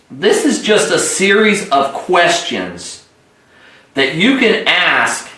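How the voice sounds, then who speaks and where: A man's voice: sits high, a bit bright. A man hosting a training call, giving direct, instructional sales coaching and repeating points for emphasis. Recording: echoey room livestream.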